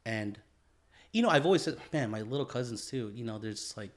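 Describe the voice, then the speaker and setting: A man's voice: mid-range voice, lively intonation, brisk. Laid-back man co-hosting a podcast. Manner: laid-back, lots of agreeing fillers. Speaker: podcast co-host. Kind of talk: casual chat.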